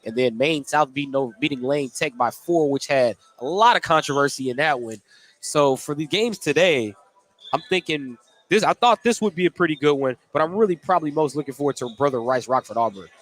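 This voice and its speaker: A man's voice: a little high, expressive, a little fast. A man doing live play-by-play radio commentary on a basketball game, describing the action in short, clipped phrases. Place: indoor school gymnasium during a game. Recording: noisy live gym, clicks and ball bounces. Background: loud game noise, bouncing basketball.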